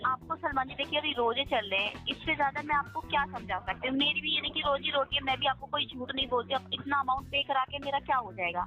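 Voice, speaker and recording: A woman's voice: sits high, fast talker, few pauses, pushed and bright. A woman working as a loan recovery call-centre agent, talking persuasively and insistently on the phone, explaining politely and often checking for agreement. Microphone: telephone line. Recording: tinny phone call recording, faint music.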